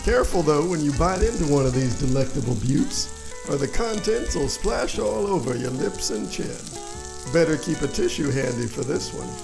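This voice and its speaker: A man playing a folksy, eager breakfast-meat pitchman with hearty sales patter in a parody radio commercial. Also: sing-song, normal speed, gravelly, music in the background, rough recording.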